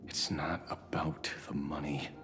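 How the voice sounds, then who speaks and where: A man's voice: very deep voice, little pitch movement, unhurried. An actor playing a wry, dogged male detective in a video game, sardonic and defiant, with theatrical, pointed phrasing. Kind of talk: scripted video game voice acting. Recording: long reverb, music behind. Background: music.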